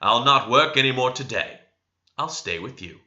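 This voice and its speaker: A man's voice: mid-range voice, expressive, normal speed, pushed and bright, dull audio. A man reading a storybook part as a sullen, suspicious sixteen-year-old farm boy, brooding and blunt. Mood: sullen, defiant.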